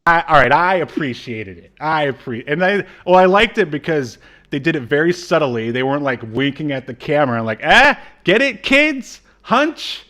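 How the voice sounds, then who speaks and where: A man's voice: fairly high pitch, sing-song, normal speed, sharp tone. A man in his thirties co-hosting an informal review chat, talking in a casual, joking way. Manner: jokey, informal. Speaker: podcast co-host. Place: indoor room, on a video call.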